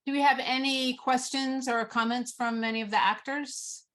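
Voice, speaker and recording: A woman's voice: sits high, fairly even intonation, normal speed, fairly bright tone. A woman moderating a question-and-answer session in a casual, conversational way. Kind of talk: casual Q&A hosting.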